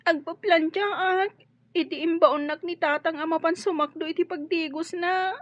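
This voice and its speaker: A woman playing a frightened, abused young servant girl in a radio drama, pleading haltingly and crying. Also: very high-pitched, fairly even intonation, no echo. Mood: tearful, pleading. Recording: dull, dry studio sound.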